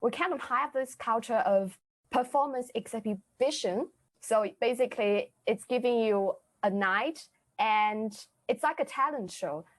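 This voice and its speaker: Brief: young woman, Chinese accent, casual podcast guest, halting and self-correcting. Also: fairly high pitch. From China. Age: young adult. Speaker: student guest.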